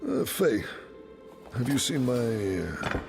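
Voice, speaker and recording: An actor playing a kindly, steadfast craftsman and father in a fantasy game cutscene, talking gently and thoughtfully. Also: medium pitch, big pitch swings, slow pace, vocal fry, faint music behind, heavily acted. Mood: welcoming, calm. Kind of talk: dramatic game cutscene dialogue.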